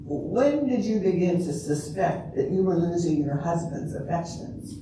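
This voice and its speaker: An older woman in an amateur readers' theater playing a patient, probing lawyer who questions a client in a measured, halting way. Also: on the low side, expressive. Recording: distant, very echoey, noisy room.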